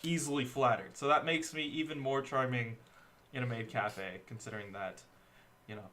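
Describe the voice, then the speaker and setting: A man's voice: medium pitch, fairly even intonation, moderate pace, bright, forceful tone. A man hosting a casual comedy stream, laid-back and giggly as he explains things. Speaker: stream host.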